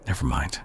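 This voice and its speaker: A man's voice: very deep voice, slightly rough, heavily acted. A man playing a weary, worried superhero talking intimately to an injured teammate in an audio roleplay. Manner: hushed, halting, sighing, turning exasperated.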